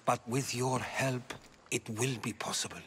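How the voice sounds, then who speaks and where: An actor playing a grave, pragmatic Athenian adviser in a video game, sober and deliberate. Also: male voice, medium pitch, heavy background noise, lightly acted. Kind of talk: video game cutscene acting.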